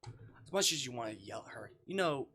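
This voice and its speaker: A man with a bright, forceful voice of medium pitch reads a visual novel aloud on a live stream. He is expressive and casual, tripping on words as he skims. The recording carries noticeable noise. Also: a little fast. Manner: expressive, stumbles while skim reading.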